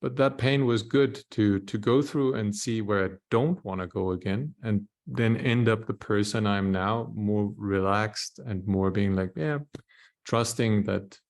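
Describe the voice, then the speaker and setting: A man from Austria, a panelist telling his story in a reflective, measured way. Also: on the low side, steady tone, darkish tone. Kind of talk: panel discussion.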